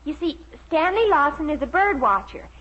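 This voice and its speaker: Guileless American teen girl, very high pitch, earnest, 1950s radio sitcom, dull recording. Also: very animated, moderate pace, fairly bright tone. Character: eager, guileless teenage daughter. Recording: muffled old radio recording.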